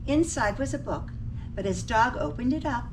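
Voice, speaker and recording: Animated, high-pitched woman reading a storybook. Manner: expressive, pausing to ask young listeners questions. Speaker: teacher reading a picture book aloud. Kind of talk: animated storybook read-aloud for children. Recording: distant mic with faint background noise.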